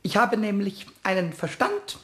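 A man playing a boastful, mischievous little kobold with a squeaky, childlike, piercing voice in a children's radio play. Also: a little slow, full character voice. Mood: boastful, defiant. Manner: boastful, rhyming, excitable.

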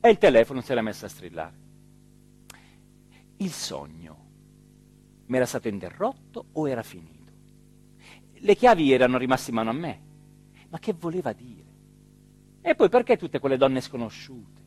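An actor playing an excitable small-town mayor in a theatrical radio monologue, with an emphatic delivery that swings from hushed to shouted. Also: male voice, mid-range voice, moderate pace, long pauses, breathy edge. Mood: puzzled, animated. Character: excitable small-town Italian mayor recounting his dream and a night emergency.

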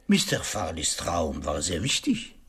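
Theatrical, husky detective. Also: male voice, deep voice, huge pitch swings, fairly bright tone, exaggerated voice. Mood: pointed, insistent. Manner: dramatic, rhetorical questions and emphatic pauses. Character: shrewd, theatrical male detective, self-assured and precise. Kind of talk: radio drama monologue, explaining a case.

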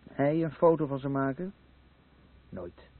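An actor playing a dry, gossiping, sceptical acquaintance in a radio play. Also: male voice, mid-range voice, animated, a little slow, close to an everyday voice. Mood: gossiping, scornful. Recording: muffled radio play with faint noise.

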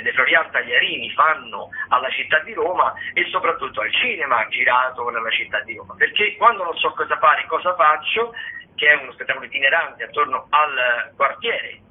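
A man hosting a radio show, talking through arts listings in a conversational, halting, digressive way, with frequent hesitations. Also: mid-range voice, husky. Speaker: radio theatre show host. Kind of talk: conversational radio listings of theatre and dance shows. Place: radio broadcast. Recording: slightly band-limited, phone-like radio sound.

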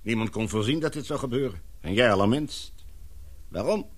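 A middle-aged man playing a concerned father in authority in a radio drama, coaxing and questioning in a husky voice. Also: medium pitch, lively intonation, normal speed. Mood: puzzled, probing.

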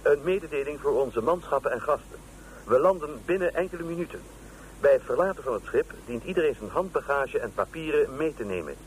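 A man plays a spaceship announcer in a radio drama. His delivery is formal and businesslike, slightly high, with faint noise behind. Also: lively intonation, brisk, lightly acted, poor audio. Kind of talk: shipboard announcement in a radio play.

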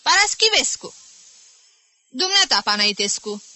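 Lady doing a little fifty-ish music teacher, yelling high and sharp, sneering at the kids, dry close sound. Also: very animated, dry recording, exaggerated voice. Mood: mocking. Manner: shouting insults, mocking and ironic. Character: small, irritable, sarcastic male music teacher around fifty.

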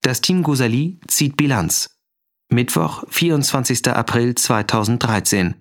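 A man delivers scripted scene announcements at medium pitch. He is factual and clipped, like reading headlines. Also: unhurried.

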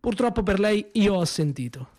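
A man delivers a bitter, accusing monologue in a slightly high voice, dropping into slow, heavy pauses. The sound is close and dry, with no room around it. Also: animated, a little mellow, no echo. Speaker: stage actor in a one-man monologue. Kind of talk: theatrical monologue performance. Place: small theatre stage.

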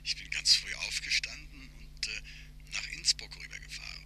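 A man's voice: medium pitch, moderate pace, background noise, lightly acted. An actor playing a man who fakes a husband's voice on the phone in a radio play, whispering, muffled and hard to understand. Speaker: impostor on the phone posing as the detective. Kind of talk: 1950s radio play acting.

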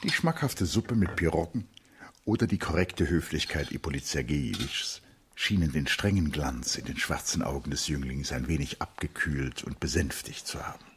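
Radio play narrator: bass register, wide intonation, measured, dryly ironic storytelling. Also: male voice, sing-song, slight background noise. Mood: wry. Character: male literary narrator, wryly observant.

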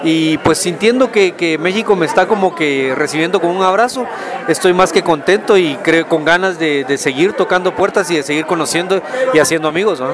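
A man's voice: fairly high pitch, a little fast. A Guatemalan man giving reflective, conversational interview answers, often checking in with short tag questions. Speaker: guest singer-songwriter. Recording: music and crowd noise behind.

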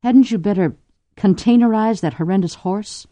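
Man voicing a wry, mellow, sharp-tongued mother. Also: sits high, normal speed, no room sound, pitched above the performer's own voice, natural voice. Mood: alarmed. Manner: wry, exasperated. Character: anxious, sharp-tongued mother, dryly teasing aristocratic woman. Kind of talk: audiobook character voice.

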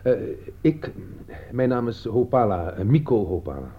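A man's voice: mid-range voice, sing-song, moderate pace, lightly acted. A man playing a nervy, bitterly sarcastic lawyer in a radio drama, with an edgy, needling way of talking. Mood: hesitant. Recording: muffled old radio play recording.

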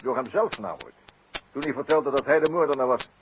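An actor playing a sly, mocking French police detective in a 1960s Dutch radio play, speaking in a wry, theatrical, deliberate way. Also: male voice, medium pitch, expressive, natural voice. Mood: mocking, accusing. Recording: very noisy, clicky 1960s radio recording.

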